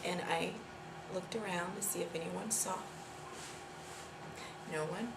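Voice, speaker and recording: An actress playing a guilt-ridden woman confessing a long-held secret in a dramatic monologue, halting and repeating herself. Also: on the high side. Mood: subdued, guilty. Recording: echoey room with clear background noise.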